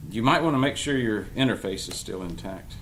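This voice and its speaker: A middle-aged man playing a protective, firm and caring mentor in an audio drama read-through, with an emphatic, deliberate delivery. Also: on the low side, expressive, a bit bright. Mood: casual, fatherly. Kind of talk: audio drama cast reading.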